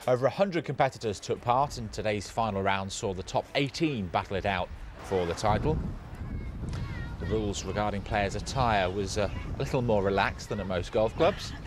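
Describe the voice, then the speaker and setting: A man's voice: fairly low pitch, normal speed, noisy background. A British man reading scripted sports news, with slight hesitations.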